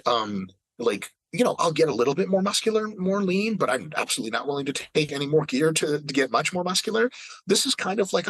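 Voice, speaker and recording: A man's voice: on the high side, a bit bright, breathy edge, dry recording, poor audio. A man in his late thirties in casual podcast chat, ranting with emphatic, profane energy. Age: 30s. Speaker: fitness podcast regular.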